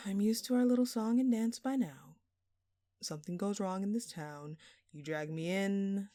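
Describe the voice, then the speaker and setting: A smug, shamelessly flirty female thief, played by a woman in an audio drama. She takes her time with every line in a slightly low, sultry voice, teasing and feigning innocence. The sound is intimate and very dry. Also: warm tone, no room sound. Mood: smug, bored. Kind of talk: audio roleplay acting addressed to the listener.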